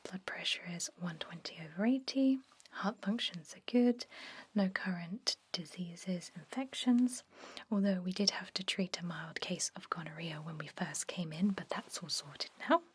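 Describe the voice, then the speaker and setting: A woman playing a friendly, quietly sinister vampire head nurse in a soft, breathy ASMR whisper. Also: on the low side. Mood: friendly, briskly professional. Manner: slow, gentle, coaxing, professional patter. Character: friendly chatty female vampire head nurse, cheerfully sinister toward captives.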